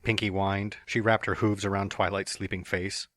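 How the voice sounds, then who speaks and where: A man narrating a fan story in a plain, even storytelling manner. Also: fairly low pitch, normal speed, no room sound, clean studio sound. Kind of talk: fan dramatic reading of a story.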